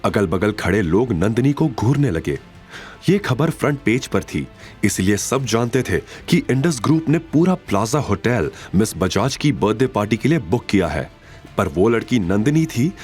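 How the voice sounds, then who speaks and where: A man narrating a scripted audio drama in an expressive, suspenseful storytelling style. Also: lowish voice, moderate pace. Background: faint music.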